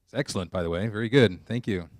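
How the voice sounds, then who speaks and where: A man's voice: fairly low pitch, fast talker, no echo, clean studio sound. A man informally addressing an audience as moderator of a live event, announcing changes and inviting questions. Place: live college library event.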